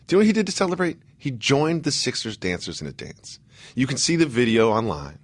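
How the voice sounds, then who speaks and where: An American man co-hosting a playful sports talk show, animated and full of rhetorical questions. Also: medium pitch, a little fast.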